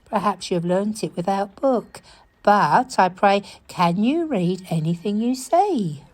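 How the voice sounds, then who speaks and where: A woman playing a cheerful, unlettered household servant in a Shakespearean audio drama, exclamatory and deliberate. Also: mid-range voice, animated. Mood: puzzled.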